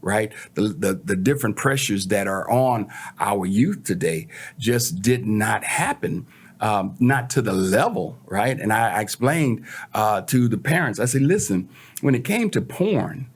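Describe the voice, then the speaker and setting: A middle-aged man with an American accent talking casually as a show guest, halting and repeating words for emphasis. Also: lowish voice, expressive, slightly rough. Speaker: talk show guest and co-presenter. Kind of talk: casual conversation.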